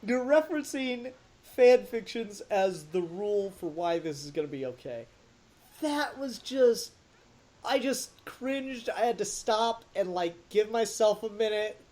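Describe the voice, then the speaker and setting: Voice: medium pitch, animated, a little slow, darkish tone. A podcast co-host giving a casual, blunt and emphatic critique in conversation. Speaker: podcast co-host reviewing an audio drama.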